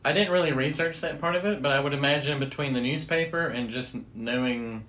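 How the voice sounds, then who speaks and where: A man's voice: medium pitch, a little fast, fairly bright tone, slight background noise, low-quality recording. A middle-aged American man chatting casually as a podcast co-host. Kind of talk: casual chat.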